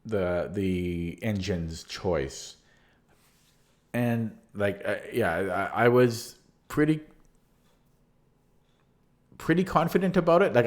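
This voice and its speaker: Deep voiced guy going over his chess game, kinda hoarse, lots of um and restarts. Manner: hesitant, many restarts, relaxed and candid. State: losing his voice. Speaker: chess channel host reviewing his own game. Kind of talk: casual game analysis from notes.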